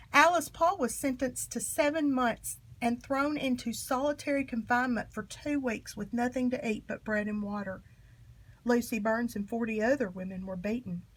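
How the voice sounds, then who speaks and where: A woman reading a children's history book aloud in an expressive, emphatic storytelling manner. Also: on the high side, rough recording. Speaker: storybook reader.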